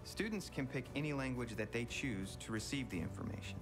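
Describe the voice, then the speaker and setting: A man speaking in a promotional video testimonial, in a measured, earnest way. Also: medium pitch, lively intonation. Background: music.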